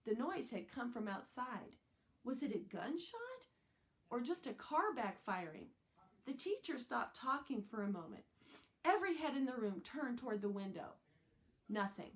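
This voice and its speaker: A woman's voice: fairly high pitch, poor audio. A woman narrating a children's novel aloud as a scripted reading. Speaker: audiobook reader.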